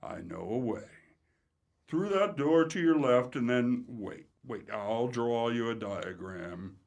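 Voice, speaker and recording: A man's voice: mid-range voice, expressive, takes it slowly, gravelly, a little mellow. An actor playing a wisecracking male friend who helps his pal sneak out, with dry timing, in old-time radio comedy style.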